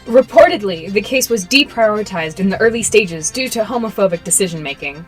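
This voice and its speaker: A woman's voice: mid-range voice, expressive, fairly quick, soft background music, lightly acted. A young woman playing a formal news reporter and narrator, reading a script for a radio drama. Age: young adult.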